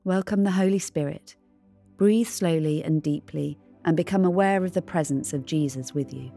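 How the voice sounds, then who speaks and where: Low, mellow woman reading devotional. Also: normal speed, creaky, soft background music. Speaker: devotional reader. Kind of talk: scripted devotional narration.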